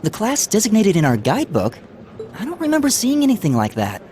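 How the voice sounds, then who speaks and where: A woman voicing a friendly, slightly timid teenage schoolboy with a soft husky voice, chatting in a video game. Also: medium pitch, wildly swooping pitch, fairly quick, a little hiss or hum. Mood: puzzled. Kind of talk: game voice acting, casual dialogue.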